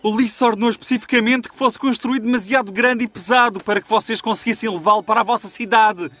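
A young captive with an extremely high voice and a European Portuguese accent. He is a cunning cartoon deceiver who speaks with dramatic earnestness, music playing underneath. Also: steady tone, fast, sharp tone, no room sound. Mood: earnest, scheming. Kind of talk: dubbed cartoon acting. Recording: music behind the voice.

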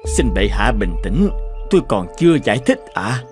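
Humble, earnest cartoon commoner. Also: male voice, lowish voice, big pitch swings, moderate pace, a little mellow. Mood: pleading. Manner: deferential, patient explaining. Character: humble, clever poor graveyard keeper, earnest and respectful. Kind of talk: dubbed cartoon fairy tale acting. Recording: music behind the voice.

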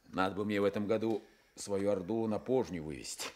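A man's voice: fairly low pitch, fairly quick, noisy background, close to an everyday voice. An actor playing a brooding, blunt village man with earthy colloquial speech in a Soviet radio drama. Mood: weary, dry. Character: brooding, blunt, guilt-ridden village man recovering from illness.

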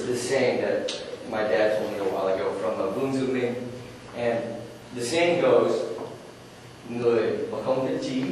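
A man's voice: medium pitch, darkish tone. Young man presenting in an echoey room. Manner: earnest, questioning, conversational with fillers. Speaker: workshop presenter. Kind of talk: conference workshop talk. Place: conference room, speaking to an audience. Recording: echoey room, distant mic, faint noise.

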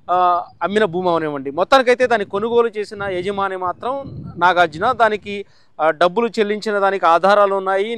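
A man's voice: high voice, fairly bright tone, clean tone, no echo. A man reporting the news from a site, explaining step by step with fillers and restarts.

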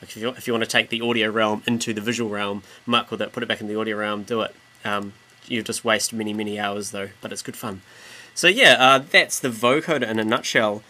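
A man giving a casual, informal software tutorial as a music production tutor. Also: lowish voice, steady tone, a little fast. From New Zealand. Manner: informal, mildly sweary. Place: indoors, voice-over on screen recording.

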